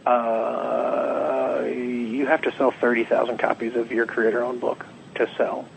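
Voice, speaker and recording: A young adult man, a comics writer being interviewed, slightly hoarse, talking in a rambling, animated way and acting out quotes. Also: mid-range voice, measured pace, a little hiss or hum, low-quality recording. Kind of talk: casual interview.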